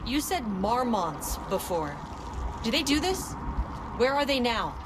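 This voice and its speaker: A woman's voice: on the high side, very animated, a little slow, noisy background. An actress playing a hard, determined female federal agent in a video game, blunt and sardonic. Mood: tense, demanding. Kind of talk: dramatic video game acting. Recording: loud music under voice.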